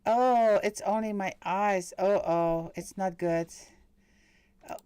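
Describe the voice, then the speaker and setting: A middle-aged woman with a Dutch accent talks slowly and haltingly, filling pauses with ums and uhs and often restarting her sentences. Her voice is smooth and clear, and it sounds dry and close, with little room sound. She chats casually to the viewers of her live stream. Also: mid-range voice, warm tone, no room sound. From Netherlands. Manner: hesitant, many fillers and restarts. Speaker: medium hosting a live stream.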